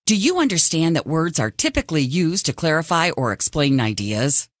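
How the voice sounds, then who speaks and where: A woman's voice: low-pitched, big pitch swings, moderate pace, no room sound, clean studio sound. An actress voicing a condescending, probing female interviewer in a video game, formal and cutting. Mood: cool, needling.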